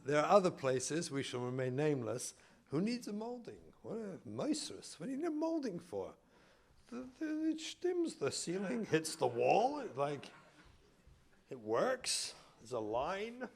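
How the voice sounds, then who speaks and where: English-raised rabbi, lively storytelling talk. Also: male voice, on the high side, measured pace, gravelly, husky. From England. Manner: storytelling with mock indignant asides and jokes. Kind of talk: humorous lecture to an audience. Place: community hall room.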